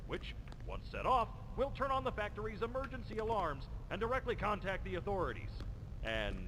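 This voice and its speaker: An actor playing a smug, cheery toy company executive who gives a theatrical, mock-polite recorded warning in a horror game. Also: male voice, high-pitched, fairly bright tone, a little hiss or hum, full character voice, rough recording. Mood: politely menacing. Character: smug, cheery male toy factory innovation chief.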